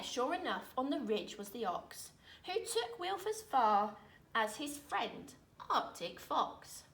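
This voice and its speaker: A woman reading a rhyming children's picture book aloud in an expressive, dramatic way, with deliberate phrasing. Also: high voice. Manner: dramatic, deliberate phrasing with emphatic swells and hushes. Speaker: storyteller reading aloud, teacher. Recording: roomy echo, distant microphone.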